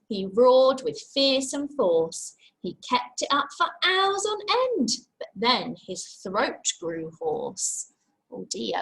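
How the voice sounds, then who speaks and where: A woman's voice: sits high, a bit bright, rough recording. A woman teacher reading a picture book aloud to young children, expressively, with simple chatty asides and questions. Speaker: infant school teacher.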